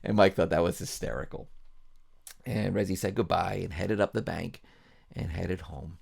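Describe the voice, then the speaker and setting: A man with a low, heavily creaky voice tells a bedtime story. His delivery is folksy and informal, like a pastor making it up as he goes, repeating phrases along the way. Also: steady tone, normal speed, darkish tone.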